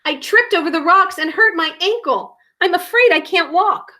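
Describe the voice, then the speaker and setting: A small female storybook animal cries out in a high, swooping voice, put on by a woman. She sounds plaintive and full of feeling. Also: expressive, moderate pace, bright, forceful tone, exaggerated voice. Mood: pained. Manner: plaintive, emotional crying out.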